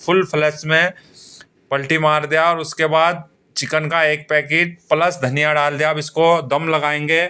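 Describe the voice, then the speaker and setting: A man's voice: on the high side, flat delivery, moderate pace, pushed and bright, smooth. A man hosting a home cooking video, talking over the footage and eagerly urging viewers to watch as he cooks. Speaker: cooking channel host.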